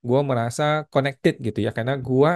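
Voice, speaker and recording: A man's voice: medium pitch, fairly even intonation, moderate pace, a little mellow. A man in his thirties talking casually to the camera in a vlog, using slang, calling the viewer "bro" and mixing in English phrases. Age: 30s. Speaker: tax channel host.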